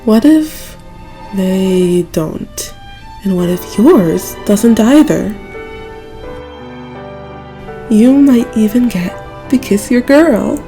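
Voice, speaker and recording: A woman voicing a grown former knight who mentors a younger friend, gentle and reflective, in a fan audio drama. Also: medium pitch, lively intonation, takes it slowly, soft background music. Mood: teasing. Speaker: voice actor.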